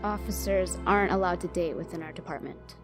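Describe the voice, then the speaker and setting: A woman's voice: lowish voice, fairly quick, music in the background, natural voice. A woman playing an earnest, loyal police officer in an audio crime drama. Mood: guarded, earnest.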